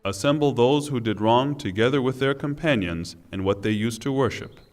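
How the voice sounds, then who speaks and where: A man reading a scripture translation in a formal, solemn and deliberate way. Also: medium pitch, darkish tone, in a large hall. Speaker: reader of Quran translation.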